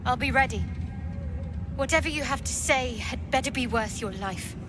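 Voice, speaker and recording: A woman's voice: high-pitched, normal speed, close to an everyday voice. An actress playing a guarded female adventurer in a video game, speaking in a curt, menacing way. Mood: wary, threatening. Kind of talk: dramatic video game acting. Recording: game music behind.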